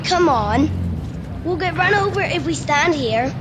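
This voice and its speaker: Radio play: eager, boastful Scottish schoolboy dreamer, played by a woman. High voice, leisurely delivery, noticeable background noise. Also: animated, measured pace. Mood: concerned. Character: cheeky, daydreaming schoolboy, butcher's son under sixteen. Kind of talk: amateur radio play acting. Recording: noisy remote home recording.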